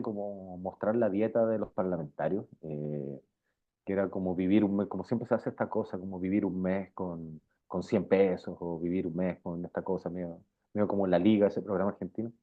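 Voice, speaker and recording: Chilean guy w a low voice, slangy and rambly interview chat, sounds like a phone line. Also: moderate pace. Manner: informal, slangy, circling and repeating phrases. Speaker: interviewed journalist. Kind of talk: casual conversational interview. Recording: thin, phone-like band-limited sound.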